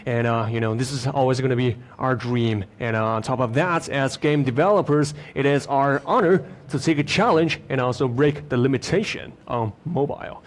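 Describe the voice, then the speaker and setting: A man hosting a live stream in a studio, presenting in an upbeat, chatty way with lots of filler phrases. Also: mid-range voice. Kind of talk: upbeat presenting to an online audience.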